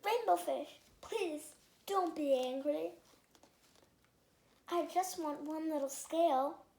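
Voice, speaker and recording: A young girl voicing a small, gentle male storybook fish with a polite, pleading manner. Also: high voice, slow, creaky, pitched above the performer's own voice, heavily acted. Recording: roomy echoing room sound.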